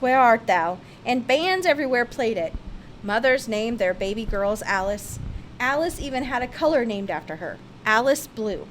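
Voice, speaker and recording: A woman's voice: a little high, fairly bright tone, a little hiss or hum, low-quality recording. A woman reads a children's picture-book biography aloud with theatrical, emphatic expression. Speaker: storybook reader.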